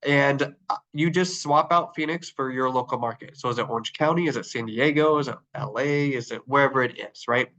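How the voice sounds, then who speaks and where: A man's voice: medium pitch, fairly quick, fairly bright tone, lo-fi sound. A man with a nasal, flu-congested voice leading an online training session, talking with plenty of ums and uhs as he clicks through his screen. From Arizona. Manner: upbeat, filler-heavy, talking while clicking through screens.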